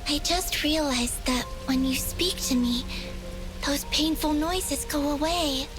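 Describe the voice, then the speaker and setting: A woman's voice: high voice, takes it slowly, music in the background. An actress voicing a playful, curious young woman investigator in a video game, with a breezy, casual manner.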